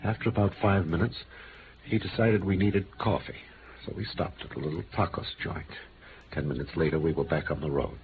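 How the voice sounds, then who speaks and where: An actor playing a bitter, quiet gray-haired con man of nearly sixty in a vintage radio drama. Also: low-pitched, steady tone, fairly quick. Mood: earnest recounting. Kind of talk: 1950s radio drama acting. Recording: muffled vintage radio recording, faint hiss.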